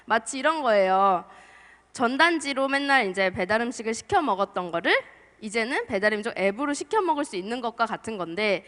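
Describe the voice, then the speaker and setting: A woman's voice: fairly high pitch, animated, a little fast. Young female marketer, slightly under the weather, casual presenter in an echoing hall. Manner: chatty, soft-spoken, with small laughs and restarts. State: has a cold, voice strained. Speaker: marketer giving a conference talk. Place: large lecture hall. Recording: long hall reverb.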